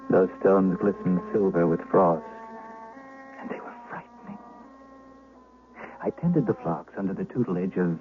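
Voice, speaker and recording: An actor playing an educated former shepherd, haunted, gravely and deliberately recounting his youth in a radio drama. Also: sits low. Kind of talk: 1970s radio drama narration. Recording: muffled vintage radio recording, music behind.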